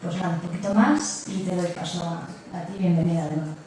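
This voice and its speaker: Mellow woman host in an echoey room. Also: fairly low pitch, steady tone, a little fast. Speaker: event host introducing a speaker. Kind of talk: prepared introduction, partly read. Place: lecture room with strong echo. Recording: very echoey room, distant mic, noisy.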